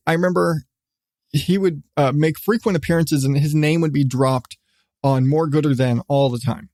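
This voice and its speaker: A middle-aged man hosting a casual solo podcast, talking in a rambling, halting way with repeated restarts. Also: medium pitch, gravelly, a little mellow, no echo, studio quality. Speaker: podcast host.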